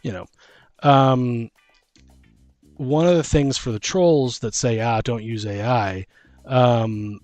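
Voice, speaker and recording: A man's voice: mid-range voice, takes it slowly, long pauses, a little mellow, no echo, faint music behind. A middle-aged man chatting casually as a podcast host, rambling emphatically and often breaking off mid-thought. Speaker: podcast host and tabletop game master. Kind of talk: casual conversational chat.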